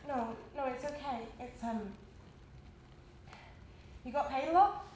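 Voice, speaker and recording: Actress as a high-voiced, concerned female workmate. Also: slow pace, darkish tone. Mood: gently probing. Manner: questioning, solicitous. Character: concerned, probing, awkwardly kind female workmate. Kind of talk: live stage play acting. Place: indoor stage, recorded live. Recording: echoey, noisy live theatre recording.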